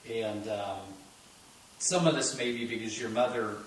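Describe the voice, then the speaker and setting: A man interviewing an elderly guest, giving soft, encouraging prompts. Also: on the low side, unhurried. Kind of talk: interview questions. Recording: distant, very echoey, faint hiss.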